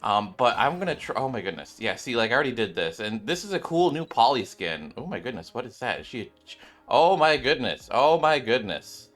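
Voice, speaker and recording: A man hosting a gaming channel with casual, excitable commentary, often exclaiming and repeating his phrases. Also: medium pitch, brisk. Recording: music behind the voice.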